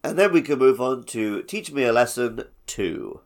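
A man's voice: mid-range voice, animated, moderate pace. A man co-hosting a podcast in a casual, chatty style. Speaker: podcast co-host. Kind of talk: casual chat.

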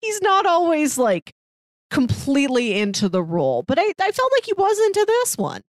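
A woman's voice: high voice, huge pitch swings, moderate pace, dry recording. Woman chatting casually, dry close sound. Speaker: podcast co-host. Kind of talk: casual film discussion.

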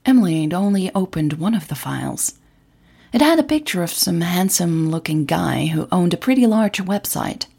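A woman with a husky, breathy voice narrating a scripted audio drama story. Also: on the low side, animated, moderate pace, mellow.

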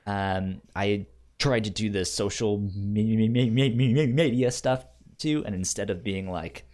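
A man's voice: on the low side, measured pace, darkish tone. A man co-hosting a podcast in casual chat, stammering and often repeating words. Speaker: podcast co-host.

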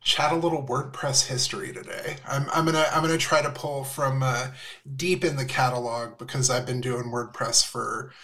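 A man's voice: mid-range voice, fairly even intonation, moderate pace, bright, forceful tone. A man introducing himself as a panel guest, laid-back and slangy. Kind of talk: casual panel chat.

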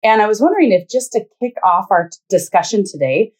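A woman's voice: mid-range voice, expressive, fast, darkish tone, husky. A woman, speaking as a podcast host introducing and interviewing.

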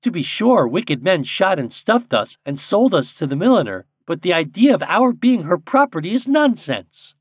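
A man's voice: high voice, sing-song, moderate pace, hardly any pauses, husky, dry recording, clean studio sound, pitched above the performer's own voice, exaggerated voice. A man voicing a small, indignant, argumentative storybook songbird.

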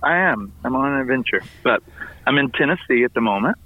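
A slightly hoarse man, a family ministries pastor, calling in to tell an upbeat, enthusiastic story full of fillers. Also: medium pitch, lively intonation, a little fast. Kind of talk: casual phone-in report. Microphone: phone call. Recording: tinny phone line.

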